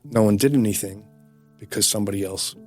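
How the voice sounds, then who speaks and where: A man's voice: fairly low pitch, brisk, a little mellow, soft background music. A man with an Australian accent, giving an earnest, emphatic interview with frequent restarts. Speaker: interview guest. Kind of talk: earnest personal testimony.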